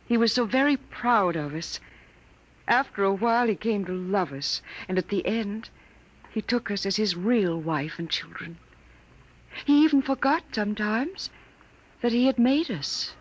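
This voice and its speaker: A gentle android wife, expressively lilting. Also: female voice, mid-range voice, expressive, unhurried, rough recording. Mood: tender, wistful. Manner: soft-spoken, wistful. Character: gentle android wife, ageless, grieving with quiet dignity. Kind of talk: 1950s radio drama acting. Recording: vintage 1950s radio broadcast recording.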